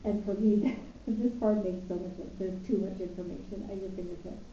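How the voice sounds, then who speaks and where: A woman co-hosting a casual weekly work meeting, chatty and quick to laugh, often trailing off mid-sentence. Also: mid-range voice, steady tone, mellow, distant mic, slight background noise. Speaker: co-host of a weekly progress meeting. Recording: echoey dull room sound from off mic.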